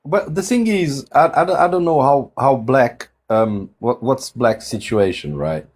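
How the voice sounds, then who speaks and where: A man's voice: animated, a little slow. A man in his forties from Angola, raised in Portugal, talking reflectively in a casual group chat with frequent restarts over a video call. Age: 40s. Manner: reflective, many restarts, shifting pitch. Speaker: guest on a dating discussion.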